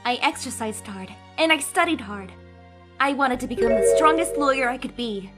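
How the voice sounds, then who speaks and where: A woman's voice: sits high, normal speed, over loud music. Woman voicing an earnest, upbeat young lawyer. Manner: energetic, bursts into emotional pleas. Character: earnest, cheerful young female defense lawyer. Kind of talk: fan dub voice acting.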